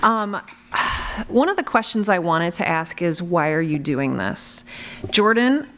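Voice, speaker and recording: A woman's voice: on the low side, sing-song, a little slow, a bit bright, slight background noise. A woman moderating a panel informally, with a gushing, effusive manner. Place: convention panel room.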